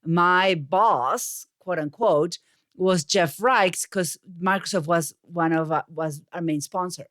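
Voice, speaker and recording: A woman's voice: on the low side, takes it slowly, fairly bright tone, no echo, clean studio sound. A woman in her forties with an Argentine Spanish accent, telling her story conversationally as an interview guest. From Buenos Aires, Argentina. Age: 40s. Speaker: podcast guest, communications coach.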